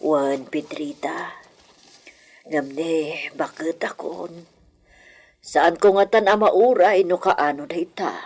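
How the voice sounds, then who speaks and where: An old, dignified hacienda grandmother in a Filipino radio drama. Her voice is deep and breathy-rough, wistful and solemn, with a Filipino accent. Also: slow pace, long pauses, a little mellow, full character voice. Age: older.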